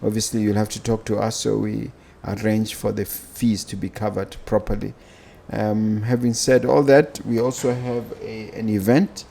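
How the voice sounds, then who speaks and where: Low, mellow, creaky-voiced Botswana man hosting. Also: level tone, moderate pace, few pauses, slight background noise. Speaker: podcast host. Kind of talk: casual podcast hosting and interviewing.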